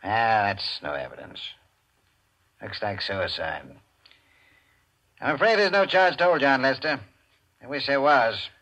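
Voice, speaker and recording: A skeptical police inspector with a sharp, forceful voice. He fires clipped, brusque questions in the manner of 1940s radio crime drama. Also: mid-range voice, expressive, measured pace, long pauses. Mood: skeptical, annoyed.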